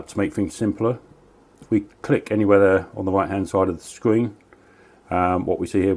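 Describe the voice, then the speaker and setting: A man's voice: low voice, darkish tone, dry recording. A man from southern England, a CB radio hobbyist, chatting casually with radio jargon and walking through a gear demo. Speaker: CB radio hobbyist and video host.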